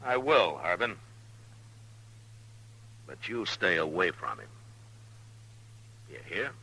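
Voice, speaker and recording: An actor playing a stern, steadfast frontier marshal, firm and plainspoken, in 1950s radio western style. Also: male voice, low-pitched, very animated, takes it slowly, gravelly, bright, forceful tone, husky, full character voice, poor audio. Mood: stern warning.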